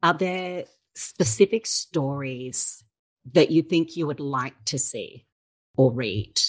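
A woman conducts a thoughtful interview. Her voice is low for a woman, and she takes her time, phrasing questions and responses at a slow pace.

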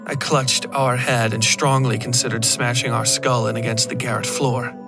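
A man's voice: mid-range voice, flat delivery, normal speed. A man narrating scripted first-person horror fiction in a dramatic, intense manner. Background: music.

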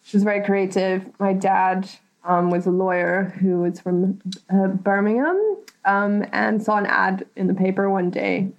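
A woman's voice: mid-range voice, steady tone, measured pace, highs cut off. A woman from Thailand, a guest in a casual chat, rambling with lots of fillers and frequent laughs.

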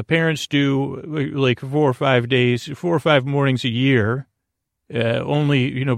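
Male sleep-podcast host: gravelly, creaky delivery, lulling and meandering, recorded dry and close. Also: mid-range voice, no room sound. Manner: lulling, meandering, halting with tangents and self-interruptions. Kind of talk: rambling bedtime monologue.

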